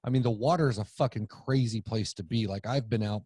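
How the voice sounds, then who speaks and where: Late-thirties male host, quick and profane, warm-toned, close studio mic. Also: fairly low pitch, husky, no echo. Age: late 30s. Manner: joking, swears freely. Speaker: podcast host. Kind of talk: casual profane chat. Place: podcast studio around a table. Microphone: studio mic on boom arm.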